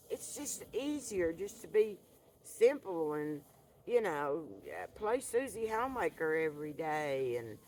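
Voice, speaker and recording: A woman, talking earnestly to a camera by an outdoor fire pit, soft-spoken and halting. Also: fairly low pitch, slight background noise. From rural Appalachian North Carolina. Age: 70s. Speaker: vlogger. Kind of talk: reflective monologue to camera.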